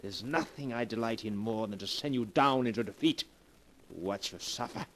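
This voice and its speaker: A man's voice: a little hiss or hum, close to an everyday voice. A man playing a gloating, suave devil. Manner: slow, purring, gloating, with low chuckles. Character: the devil, a suave mocking man who delights in cruelty. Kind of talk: 1940s radio drama acting.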